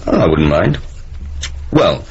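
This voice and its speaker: An actor playing a wry, laconic, supportive husband in a naturalistic radio drama. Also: male voice, low voice, very animated, slow, darkish tone, exaggerated voice. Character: laconic, wryly supportive male husband or partner. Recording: muffled, noisy old radio broadcast.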